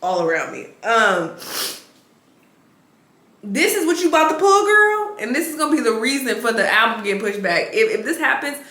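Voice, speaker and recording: A woman's voice: fairly high pitch, sing-song, normal speed, sharp tone, roomy sound. A woman reaction vlogger with a sniffly voice, chatting bluntly and casually about celebrity news from a rented home. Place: rented home full of plants.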